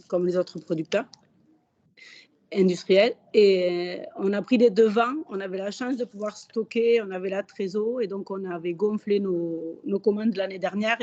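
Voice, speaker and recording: Hoarse woman, mellow tone, plain matter-of-fact speaker, muffled call audio. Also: medium pitch. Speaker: company managing director. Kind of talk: webinar testimony. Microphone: video call. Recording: dull lo-fi video call audio.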